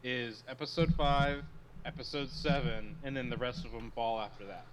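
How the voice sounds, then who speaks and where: A man's voice: mid-range voice, fairly even intonation, normal speed, noisy background. A man chatting casually as a podcast co-host on an online group call. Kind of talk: casual chat.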